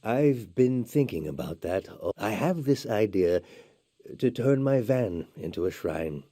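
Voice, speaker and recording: A grave, deep-voiced monk. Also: male voice, expressive, a little slow, dark tone, dry recording, studio quality. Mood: earnest. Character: solemn male monk, calm and earnest. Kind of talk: game voice acting.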